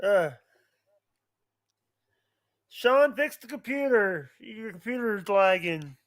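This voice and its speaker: A man guesting on a casual livestream podcast, speaking haltingly and repeating himself as he interjects. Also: high-pitched, lively intonation, a bit bright.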